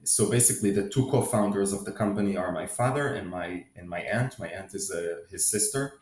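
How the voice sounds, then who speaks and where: A man who grew up in Israel, answering interview questions in a measured, thoughtful way with frequent restarts. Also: low-pitched, a little mellow, reverberant. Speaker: guest, company CFO.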